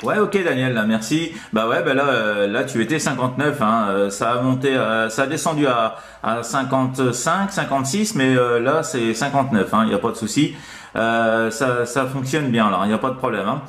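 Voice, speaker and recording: A man with a medium-pitched voice talks casually into his radio microphone, feeling his way through phrases in a halting manner. The room around him is clearly echoey. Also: fairly bright tone. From France. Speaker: amateur radio operator. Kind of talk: casual radio contact chat. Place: indoors, sixth-floor apartment.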